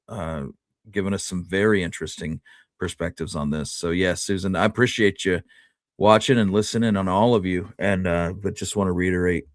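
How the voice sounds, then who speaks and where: A man's voice: deep voice, dry recording, studio quality. A man co-hosting a casual history discussion, talking conversationally to his partner and viewers. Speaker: podcast co-host.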